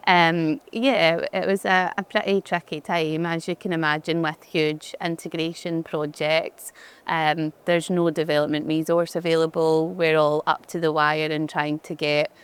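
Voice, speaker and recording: A woman's voice: low voice, smooth. A woman with a Glaswegian accent, speaking in a chatty way with frequent restarts as a guest in an on-stage fireside chat. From Glasgow, Scotland. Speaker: head of digital experience, conference guest.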